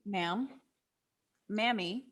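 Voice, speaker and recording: Young female role for an actress: impatient granddaughter, direct, questioning, medium pitch, table-read drama. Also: extremely slow, a bit bright. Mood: puzzled. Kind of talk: scripted table-read acting.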